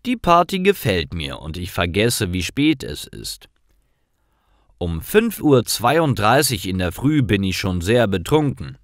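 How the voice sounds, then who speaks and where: A man narrating scripted stories for language learners in deliberate, clearly separated short sentences. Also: fairly low pitch, big pitch swings, dry recording, studio quality.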